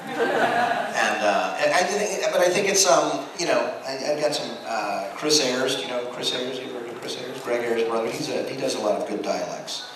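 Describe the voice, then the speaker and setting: A man's voice: mid-range voice, expressive, moderate pace, crowd noise. American male panelist, casual and rambling, jokey storyteller, picked up from far off in a big echoing room. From Texas, United States. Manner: rambling, jokey, acts out quoted remarks. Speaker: voice actor and director on a convention panel. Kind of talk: casual panel talk with anecdotes. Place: large room at a fan convention. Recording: very echoey hall, distant mic, clear noise.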